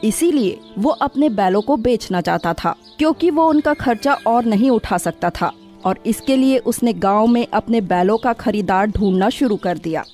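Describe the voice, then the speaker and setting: A woman's voice: on the high side, animated, normal speed, darkish tone, soft background music. A woman narrator reading a scripted moral story for children.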